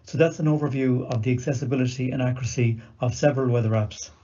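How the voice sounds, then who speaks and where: A man gives scripted, explanatory narration for a demonstration. His voice is mid-pitched and very soft-edged, on a slightly dull recording. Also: fast talker.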